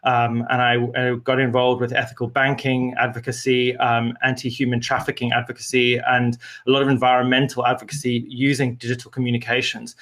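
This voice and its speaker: A man's voice: mid-range voice, completely flat delivery, a little fast, few pauses, a bit bright. A man from Zimbabwe, a guest in an interview, telling his story earnestly with many fillers and restarts. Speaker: guest, media company co-founder. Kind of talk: conversational interview answers.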